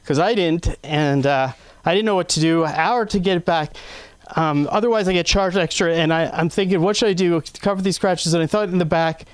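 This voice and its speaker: Man, open mic comic, rambling, self-deprecating, smooth resonant voice, slightly high. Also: a little fast, dry recording. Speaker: stand-up comic. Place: comedy club stage.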